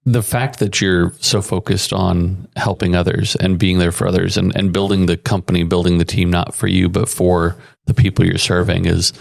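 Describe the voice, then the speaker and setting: Male host, low voice with gravel, long supportive questions. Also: flat delivery, normal speed, hardly any pauses. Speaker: podcast host. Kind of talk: podcast interview.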